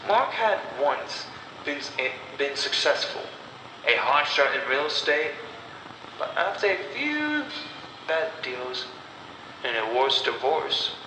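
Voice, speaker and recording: A man tells a horror story with dramatic, deliberate phrasing. His delivery is slow and his pitch sits in the middle range for a man. The recording is very reverberant, with clear noise behind him. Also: animated. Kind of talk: scripted horror story narration. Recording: echoey, long reverb, clear background noise.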